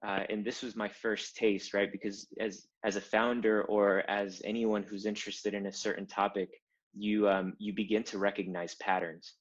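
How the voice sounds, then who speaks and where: Man from Cuba, thirties, very low pitch, dull lo-fi recording, emphatic storyteller. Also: normal speed. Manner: animated storyteller, builds to emphatic points. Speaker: guest, design founder. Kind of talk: conversational interview.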